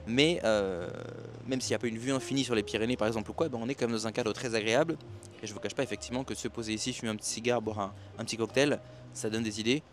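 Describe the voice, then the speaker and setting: A man's voice: medium pitch, fairly even intonation, rapid-fire. A man giving a rambling motivational monologue to his viewers at night by the sea, with many restarts and fillers. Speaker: self-help and expat business YouTuber.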